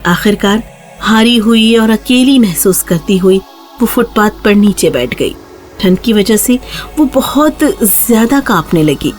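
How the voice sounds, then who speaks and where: Mid-pitched woman storyteller. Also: animated, faint music behind. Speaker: narrator. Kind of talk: scripted children's story narration.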